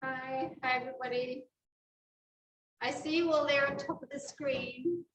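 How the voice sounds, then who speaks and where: A woman with a New Zealand accent leads a live cooking class, explaining every step as she works. Her voice sits fairly high. It is picked up from a distance in an echoey kitchen with a faint hum behind her. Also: steady tone, a little slow, a bit bright, muffled recording. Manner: chatty, explains steps as she cooks. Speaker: cooking instructor.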